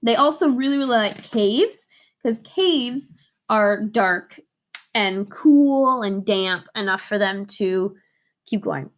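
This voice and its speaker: A woman's voice: mid-range voice, slow. A woman teacher reading a picture book aloud to young children and explaining it in simple, emphatic, playful phrases.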